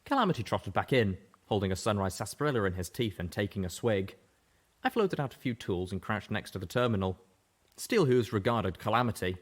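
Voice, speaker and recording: A man narrating a fan-fiction audiobook with a steady, even-paced reading voice. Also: on the low side, a little fast. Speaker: audiobook reader. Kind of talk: scripted story narration.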